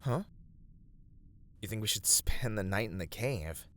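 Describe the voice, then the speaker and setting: A man playing a steady, righteous fantasy adventurer in an ASMR roleplay, speaking to a companion in measured, slightly formal, encouraging words. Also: lowish voice. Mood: thoughtful, deliberative. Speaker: voice actor in a roleplay. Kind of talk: scripted ASMR fantasy roleplay addressed to the listener.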